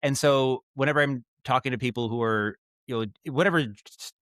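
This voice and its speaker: A man with a smooth, clear voice in a dry, close recording. He talks casually as an interview guest, working out his ideas as he goes and often doubling back mid-sentence. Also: mid-range voice, fairly bright tone, no room sound. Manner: halting, thinking aloud, circling back on thoughts. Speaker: podcast guest, writer and director of audio dramas.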